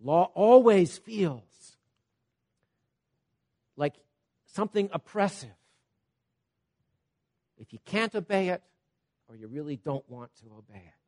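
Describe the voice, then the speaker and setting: A man with a high voice delivers a sermon in an animated way. He swings from loud, emphatic points to soft, quiet asides and often checks in with his listeners. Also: takes it slowly, pauses often. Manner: repeats "Okay?" to check on listeners. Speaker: preacher.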